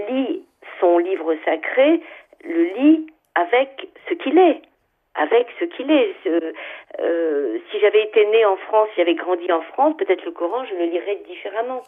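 A woman in her seventies from Algeria, a writer answering interview questions. She speaks in a thoughtful, hesitant way, with many fillers, and lists her ideas one after another. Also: medium pitch. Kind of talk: radio interview, reflective answers. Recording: phone-line sound, narrow band.

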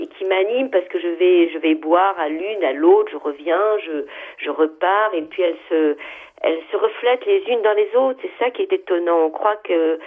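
An older woman from Algeria, a writer, answers a radio interview in a thoughtful, hesitant way, stopping often to search for words. Her voice sits fairly low. The sound comes through narrow and tinny, like a phone line. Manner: thoughtful, hesitant with many fillers, lists ideas in a row.

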